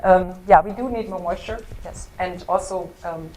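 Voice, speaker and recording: A woman researcher presenting a scientific talk with slides in a seminar room, often pausing on ums and breaking up her phrases. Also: fairly low pitch, darkish tone, low-quality recording. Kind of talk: semi-scripted scientific conference talk with slides. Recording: clear background noise in seminar room.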